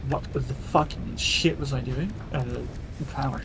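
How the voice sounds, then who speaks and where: A man's voice: medium pitch, unhurried, background noise. A man chatting casually with a friend while playing a co-op building game, muttering to himself and swearing often. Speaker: co-op gameplay player. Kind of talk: casual gaming chat.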